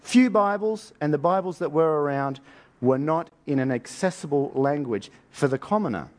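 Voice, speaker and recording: Higher-pitched man preaching informally. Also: expressive, normal speed. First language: English. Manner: informal, colloquial storytelling with rhetorical questions. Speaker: preacher teaching on Bible history. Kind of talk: sermon, conversational history lecture.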